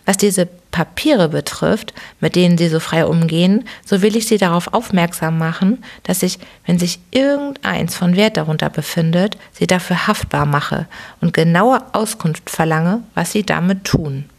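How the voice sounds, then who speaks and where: Woman reading as a stingy old man, whining, sharp edge, demanding, low-ish voice, audiobook. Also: moderate pace, creaky. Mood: indignant. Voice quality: whining yet sharp, firm tone. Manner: querulous, demanding questions.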